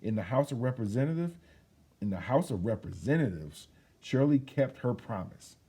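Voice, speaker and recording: Husky man reading a storybook with lively swings. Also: mid-range voice, moderate pace. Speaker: children's read-aloud host. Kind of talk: reading a children's picture book aloud.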